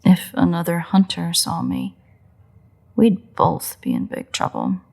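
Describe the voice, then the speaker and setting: Woman acting a husky, creaky, teasing vampire woman. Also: fairly low pitch, wildly swooping pitch, a little mellow, exaggerated voice. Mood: wry, guarded. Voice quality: husky, creaky, often breathy. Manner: wry, teasing, unhurried delivery with pauses. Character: playful, teasing, sardonic female vampire, bloodthirsty but secretly caring. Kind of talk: scripted roleplay audio drama monologue.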